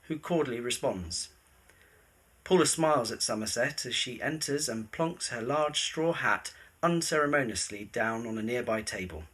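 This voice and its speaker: Bright, husky, animated male narrator. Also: mid-range voice. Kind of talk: scripted present-tense story narration.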